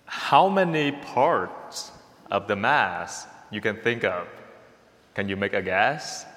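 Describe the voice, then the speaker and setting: Vietnamese-accented priest, male, slow homily delivery, mid pitch, long church reverb. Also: expressive. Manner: slow, repeats words, speaks to the congregation with a gentle "okay?". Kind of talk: sermon.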